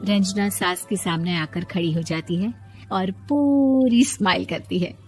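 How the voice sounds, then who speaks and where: A woman's voice: medium pitch, a little slow, darkish tone, faint music behind. A woman giving scripted storytelling narration as the narrator of a moral tale.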